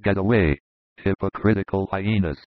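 A man reading aloud and voicing a rough, desperate Roman soldier in a lower, harsh voice. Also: moderate pace, no room sound, lightly acted. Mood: angry. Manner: harsh, insulting, urgent. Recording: dull lo-fi dry recording.

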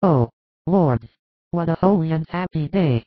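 A devout, anxious biblical mother, as a male storyteller plays her, speaks in a raised, tender pitch. Her delivery is fervent and effusive, in a religious storybook style. The recording is dry and a little dull. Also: animated, unhurried, dry recording. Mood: reverent, joyful. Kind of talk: dramatized scripted religious storytelling.

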